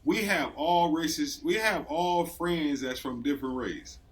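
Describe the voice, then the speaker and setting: A man's voice: a little high, a little slow, poor audio. A man co-hosting a podcast in loose, slangy casual chat, laughing often. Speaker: podcast co-host.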